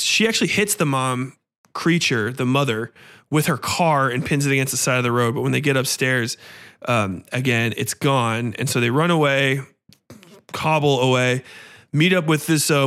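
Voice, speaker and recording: A man's voice: medium pitch, fairly bright tone. A man hosting a podcast, giving casual, opinionated film commentary in a blunt, profane, sarcastic, ranting way. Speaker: podcast host reviewing a horror film.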